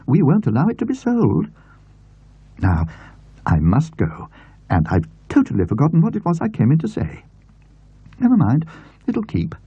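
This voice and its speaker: A man voicing a gushing, imperious older English gentlewoman, an effusive aunt in an audiobook story. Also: medium pitch, slightly rough, dry recording, muffled recording, voice pushed up, exaggerated voice. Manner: effusive, emphatic. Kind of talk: audiobook character voice.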